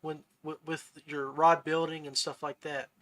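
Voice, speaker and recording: A man's voice: a little high, little pitch movement, moderate pace, crowd noise. A man hosting a podcast in a casual chat, talking in a folksy, buddy-like way. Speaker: podcast host.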